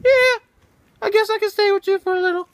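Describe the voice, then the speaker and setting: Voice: extremely high pitch, brisk, no room sound. A performer voicing Lena's part, a conniving young female puppet, casual and wheedling, in an amateur puppet show. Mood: reluctant.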